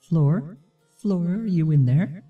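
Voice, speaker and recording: A man voicing a stern, impatient high-society mother pony in a fanfiction reading, speaking imperiously. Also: takes it slowly, narrow, tinny audio, faint music behind.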